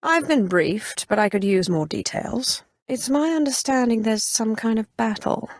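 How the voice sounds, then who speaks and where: An actress playing a haughty, cold, ambitious Sith Inquisitor in a video game, with a clipped, imperious and threatening way of talking. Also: female voice, fairly high pitch, expressive, measured pace, no room sound, clean studio sound. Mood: cool calculation. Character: haughty, menacing female Sith Inquisitor, ambitious former slave. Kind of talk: video game character dialogue.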